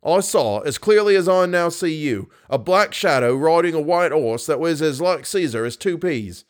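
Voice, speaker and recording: A man's voice: on the high side, expressive, moderate pace, pitched above the performer's own voice, close to an everyday voice. A man voicing an irritable, stubborn head riding master in a story, dry and insistent.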